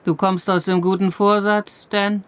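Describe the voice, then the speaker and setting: An actress playing a plaintive, self-pitying lonely widow who whines and laments, in a radio play. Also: female voice, medium pitch, little pitch movement, a little slow, clear, resonant tone, lightly acted. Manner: whining, lamenting.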